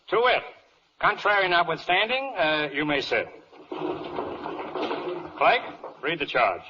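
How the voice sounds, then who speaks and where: An actor playing a pompous, dithering older judge in a 1940s comic radio play in rhyming verse, blustering and hemming and hawing. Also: male voice, fairly high pitch, sing-song, slow, pauses often, noisy background. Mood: imperious, impatient. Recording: dull old radio broadcast recording.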